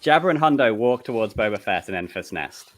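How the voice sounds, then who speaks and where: Animated man reading stage directions. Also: fairly low pitch, brisk. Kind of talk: scripted narration at a comedic table read.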